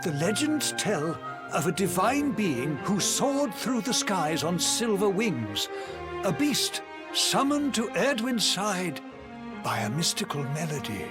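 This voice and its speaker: An actor voicing a solemn, courtly seer in a video game, speaking formally with archaic, measured diction over music. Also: male voice, high voice, sing-song, exaggerated voice. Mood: wondering, resolute. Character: courtly, solemn male seer troubled by prophetic dreams. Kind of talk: dramatic video game voice acting. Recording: loud music under the voice.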